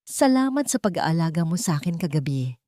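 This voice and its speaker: A woman's voice: on the low side, big pitch swings, fairly quick, dark tone, no room sound, clean studio sound. Woman voicing a cold, guarded young Filipino wife. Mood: formal, cold. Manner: formal, cold. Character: guarded, proud young wife keeping her distance.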